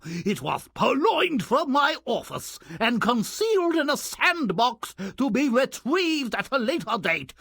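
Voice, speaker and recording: An actor playing a stern, spiteful schoolmaster in a fairy-tale video game, pompous, wordy and scolding. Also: male voice, sits high, vocal fry, pushed and bright, slightly rough, no echo, clean studio sound, exaggerated voice.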